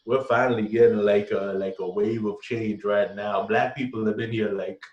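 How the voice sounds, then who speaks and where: A man's voice: fairly low pitch, fairly even intonation, moderate pace, darkish tone, lo-fi sound. An American man from Brooklyn telling stories in casual, slangy, jokey conversation as an interview guest. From Brooklyn, United States. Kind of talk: casual chat, storytelling. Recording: echoey room.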